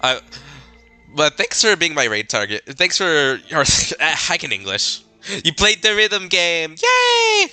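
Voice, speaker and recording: A man live-streaming a game with goofy, excitable commentary, reading the text aloud with a slightly stuffy nose. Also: fairly high pitch, slow pace, clean tone, soft background music.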